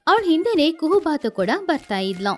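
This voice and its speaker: A woman narrates a children's story in a very high voice. Her delivery is lively and swooping, with music underneath. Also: moderate pace.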